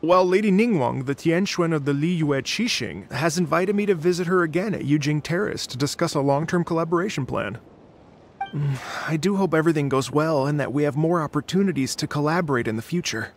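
Actor as a grand showman, gravelly, slightly high, over-the-top gratitude. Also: fairly quick, a little hiss or hum, lightly acted. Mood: effusive. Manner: theatrical, gushing, grateful. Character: flamboyant male stage performer, grandly effusive. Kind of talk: video game voice acting.

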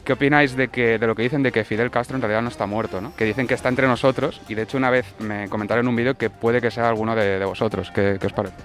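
A man's voice: mid-range voice, steady tone, racing, hardly any pauses, a bit bright, soft background music. A young man hosting a mock-serious street interview, asking absurd questions with a straight face. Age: young adult. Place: outdoor town streets. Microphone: handheld furry mic.

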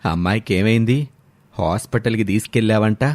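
A man's voice: fairly low pitch, fairly even intonation, fairly quick, darkish tone, voice pushed down, close to an everyday voice. A man voicing a kindly, generous elderly father-in-law in a family story, affectionate and patriarchal. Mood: pleased, caring.

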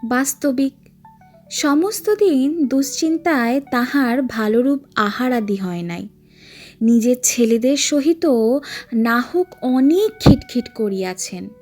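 A woman's voice: high-pitched, lively intonation, takes it slowly, a little mellow, soft background music. A woman narrating a literary story in a solemn, emotive, dramatic manner.

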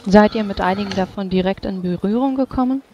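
Tabletop game: probing, formal mage woman man performing, dark soft tone with gravelly fry, slightly dull sound. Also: high-pitched, faint music behind, voice pushed up. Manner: measured, formal, inquisitive. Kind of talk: tabletop roleplay in character.

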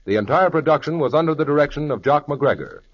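A man announcing the credits of a 1940s radio drama in a crisp, formal broadcast manner. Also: fairly high pitch, lively intonation, quick pace. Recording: dull 1940s radio recording.

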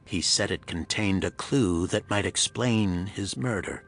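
A man giving scripted narration of a pulp thriller audiobook in a husky voice. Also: deep voice, measured pace, fairly bright tone, soft background music.

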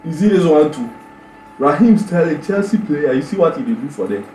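A young man in his twenties with a Nigerian Pidgin accent, hosting an animated reaction vlog full of exclamations and stammered repeats. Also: a little high, brisk, roomy sound, a little hiss or hum, rough recording. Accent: Nigerian Pidgin English. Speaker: YouTube reaction host. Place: small bedroom indoors.

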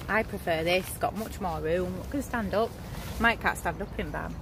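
A woman's voice: fairly low pitch, moderate pace, background noise. Chatty woman vlogging. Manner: chatty, informal, lively. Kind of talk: casual vlog chat. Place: home, then outdoor shop tent display. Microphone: phone held at arm's length.

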